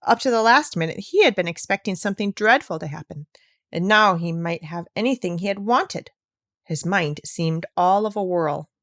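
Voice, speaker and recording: A woman's voice: lowish voice, lively intonation, normal speed, fairly bright tone, no room sound, studio quality. A woman reading a classic children's story aloud as scripted narration. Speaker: audiobook reader.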